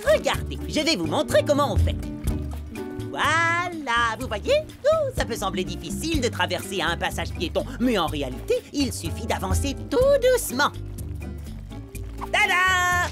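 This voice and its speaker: Naive clumsy lil cartoon boy creature, super animated, excitable and muddled, loud music under it. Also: on the high side, a little slow. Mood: eager, encouraging. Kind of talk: animated children's cartoon dub.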